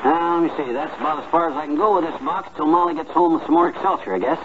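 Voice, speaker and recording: A man's voice: fairly high pitch, fast, lightly acted. Male actor as blustering, slangy homespun husband for vintage radio comedy; thin, band-limited sound with some hiss. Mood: boastful, busy. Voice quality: folksy, slangy diction. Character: folksy, blustering, boastful middle-aged husband. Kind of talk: 1950s radio comedy acting. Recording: tinny, noisy old radio recording.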